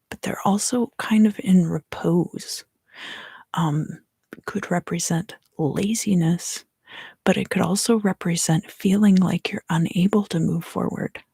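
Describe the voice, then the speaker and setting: A woman giving an ASMR tarot reading in a soft, unhurried whisper. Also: on the low side, creaky, husky, no echo, clean studio sound. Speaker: tarot reader and ASMR host.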